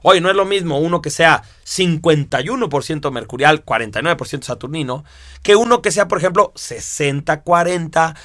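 A man teaching a course, explaining step by step with lively rhetorical questions. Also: a little high, dry recording, clean studio sound. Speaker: instructor. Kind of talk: lecture to students.

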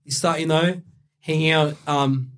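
Laid-back young Brazilian-born man, fairly high voice. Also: fairly even intonation, a little slow, fairly bright tone. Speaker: interview guest. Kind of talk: candid storytelling.

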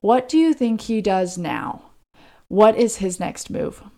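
Mellow-voiced woman telling a true crime story. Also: mid-range voice, lively intonation, slow. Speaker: true crime podcast co-host. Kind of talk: conversational storytelling of a crime case.

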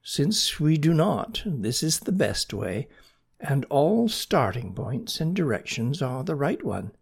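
Casting: motherly storybook snail lady, voiced by a narrator, patient, decisive, reassuring, with a husky tone. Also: mid-range voice, big pitch swings, slow pace, pitched above the performer's own voice, exaggerated voice. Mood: patient, assured. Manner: soft, reassuring, gently commanding.

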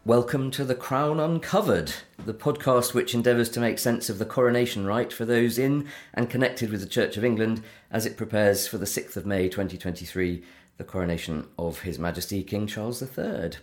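A man's voice: on the low side, a little fast. A middle-aged man, a Church of England vicar, hosting a theology podcast and speaking in an earnest, articulate way. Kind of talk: conversational theological discussion.

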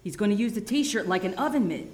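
A woman's voice: medium pitch, expressive, fast, a little mellow, a little hiss or hum, lightly acted. An actress playing a mischievous, teasing female sidekick in an audio drama. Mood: wry, alert. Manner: playful, teasing.

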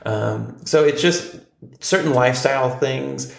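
A man's voice: mid-range voice, a little slow, gravelly, reverberant. A man in his forties chatting casually on a podcast, telling stories with lots of ums. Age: 40s. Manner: relaxed, storytelling, frequent ums. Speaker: podcast co-host.

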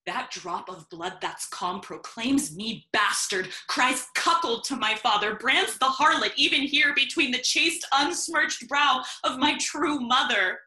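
A woman's voice: sits high, unhurried, hardly any pauses, pushed and bright, reverberant. A woman playing a hot-tempered, grieving young nobleman in a Shakespearean audio drama, declaiming vengefully. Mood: furious.

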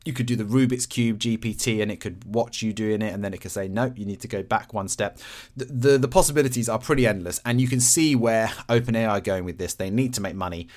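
A man's voice: lowish voice, quick pace, darkish tone. A man hosting a podcast, talking casually and explaining things in simple, everyday terms. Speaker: podcast host.

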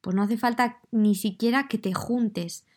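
A woman's voice: fairly high pitch, steady tone, a little slow, a little mellow. Chatty woman with a Madrid accent. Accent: Madrid Spanish, slightly softened. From Madrid, Spain. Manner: chatty, conversational, addresses listeners directly. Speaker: podcast host. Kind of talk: casual solo podcast monologue.

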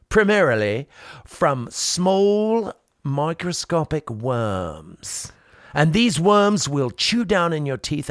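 A man's voice: on the high side, a bit bright, no echo, studio quality, full character voice. A man playing a cheerfully macabre quack dentist from old London, with a British accent, explaining in a mock-learned, matter-of-fact way in improvised comedy. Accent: British English. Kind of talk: improvised comedy role-play.

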